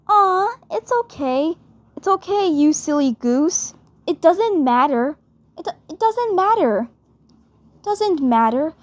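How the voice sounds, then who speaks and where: A woman playing a bubbly, affectionate girl, speaking haltingly and repeating her words and phrases. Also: very high-pitched, lively intonation, dry recording, heavily acted. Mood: reassuring, fond.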